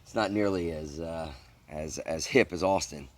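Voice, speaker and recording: A middle-aged man from the American South interviewing a guest, speaking thoughtfully with many halting restarts. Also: extremely low pitch. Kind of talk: casual interview.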